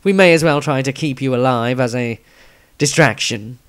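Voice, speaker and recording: A man's voice: medium pitch, moderate pace, a bit bright, clear, resonant tone, lightly acted. A man voicing a smirking, sarcastic adventurer in a fantasy storybook, dry and sardonic.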